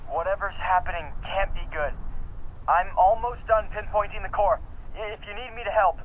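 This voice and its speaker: A man's voice: high-pitched, steady tone, normal speed, phone-quality sound, a little hiss or hum, lightly acted. An actor playing a helpful teenage boy tech genius in a video game, a man. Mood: focused, helpful. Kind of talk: video game cutscene acting. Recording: dramatic game music behind.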